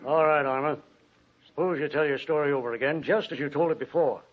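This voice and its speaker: Actor as police detective, clipped, official, probing, highish voice, narrow muffled vintage radio sound. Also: male voice, fairly even intonation, fairly quick, lightly acted. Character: probing, skeptical police detective questioning a suspect. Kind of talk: 1940s radio drama acting. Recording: thin muffled old radio recording.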